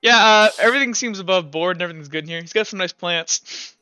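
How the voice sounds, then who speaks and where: A young man runs a tabletop session over voice chat, his voice high for a man and clipped dry by a close home mic. He talks in loose, rambling bursts full of fillers and restarts, laughing often. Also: fast talker, pushed and bright, clear, resonant tone, no echo, low-quality recording. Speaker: game master. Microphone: home microphone on voice call.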